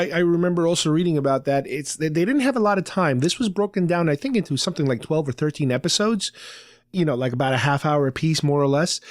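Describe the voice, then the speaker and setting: Male co-host, slightly high voice, dry close sound, informal fan discussion. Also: no echo. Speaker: podcast co-host.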